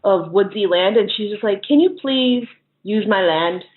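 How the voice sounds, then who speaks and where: A woman's voice: mid-range voice, normal speed. A woman chatting casually as an interview guest, often laughing as she talks. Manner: giggly, laughs often while talking. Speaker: interview guest, horror filmmaker. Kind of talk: casual chat. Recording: thin, narrow phone-like line.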